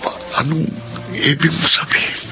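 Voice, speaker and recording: An actor playing a humble, guilt-ridden young man in a radio drama, speaking softly and hesitantly. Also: moderate pace, music in the background, natural voice. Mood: guilty. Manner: hesitant, soft-spoken.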